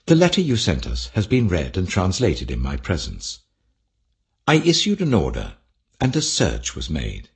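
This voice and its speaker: Ancient monarch for scripture audiobook, voiced by a male narrator: British, deep, commanding, formal, highly inflected. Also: huge pitch swings, moderate pace, exaggerated voice. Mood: stern command. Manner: imperious, formal. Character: stern, commanding Persian king issuing decree.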